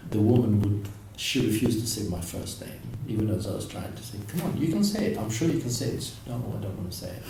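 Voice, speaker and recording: A man from Morocco speaking reflectively in a documentary interview, halting with many restarts. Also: on the low side. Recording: very echoey, distant mic, faint noise.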